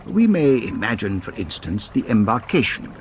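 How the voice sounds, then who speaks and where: An actor playing a formal historian, narrating a radio drama in a measured, literary manner. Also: male voice, on the low side, sing-song, a little hiss or hum. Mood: measured, serious. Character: formal male historian narrating a Victorian murder.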